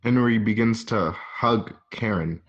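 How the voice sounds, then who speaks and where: A man's voice: fairly low pitch, a little slow. A man narrating stage directions for a radio play in plain speech. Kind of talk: plain spoken scene narration. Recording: slightly dull home recording.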